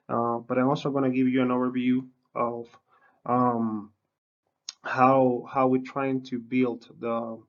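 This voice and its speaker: Halting man. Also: mid-range voice, dull audio. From Dominican Republic. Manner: lots of uh and um, frequent restarts. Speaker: university horticulture researcher. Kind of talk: extension webinar presentation.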